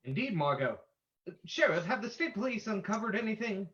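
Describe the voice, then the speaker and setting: A performer playing a calm, suave male detective, formal and probing, in a radio drama reading. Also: medium pitch. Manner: formal, measured questioning. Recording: dull lo-fi sound.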